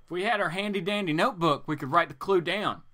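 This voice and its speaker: A man's voice: high voice, quick pace. A man reading a comic aloud informally and dramatically, breaking into joking asides. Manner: animated, joking, theatrical.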